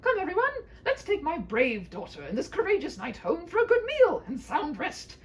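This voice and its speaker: A woman's voice: high voice, huge pitch swings, normal speed, pitched above the performer's own voice. A woman voicing a huffy, absent-minded but doting old king and father in a humorous fairy-tale reading, effusive and blustering. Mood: hearty, effusive.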